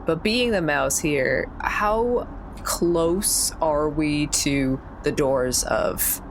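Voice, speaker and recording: Low-voiced woman chatting during a tabletop game. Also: extremely slow, background noise. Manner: chatty, informal, thinking aloud. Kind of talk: casual in-game chat.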